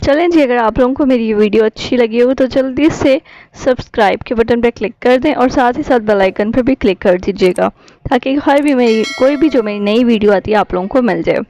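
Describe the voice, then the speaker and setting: A woman's voice: fairly high pitch, brisk, mellow, rough recording. A woman, an Urdu speaker, narrating a casual app tutorial as a YouTube host.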